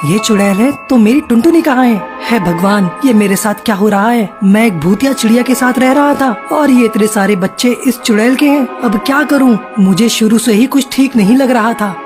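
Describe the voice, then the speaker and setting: A woman's voice: on the high side, moderate pace, hardly any pauses, music in the background, close to an everyday voice. A woman voicing a distressed young male pigeon husband in a cartoon story, bewildered and pleading. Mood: shocked.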